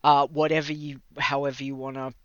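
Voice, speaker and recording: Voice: mid-range voice, flat delivery, fairly quick, smooth. An Australian livestream host chatting casually with viewers, rambling, laughing often and stumbling over words. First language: English. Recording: slightly dull lo-fi mic, dry.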